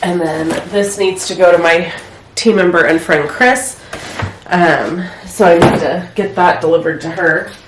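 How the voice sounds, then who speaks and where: A woman with a slightly low, stuffed-up voice talks her way through tidying her craft room at home. Her chatty, rambling delivery is full of filler words. The room sounds echoey, with a faint hiss behind her. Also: unhurried. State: stuffy from a head cold. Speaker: crafting vlogger. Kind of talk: casual chat while decluttering.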